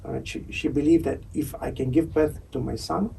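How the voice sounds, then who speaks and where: A man's voice: fairly high pitch, steady tone, brisk, creaky, dark tone, recorded from a distance, a little hiss or hum, rough recording. A middle-aged man from Singapore with a Singaporean English accent, a podcast guest talking candidly and wryly about his past. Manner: candid, wry storyteller. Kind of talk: casual interview chat.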